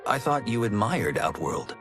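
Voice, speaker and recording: An earnest young farm-boy fighter in a game cutscene. His lowish voice is lively in pitch, sincere and a little formal. Soft music runs underneath. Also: moderate pace. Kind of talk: cinematic video game voice acting.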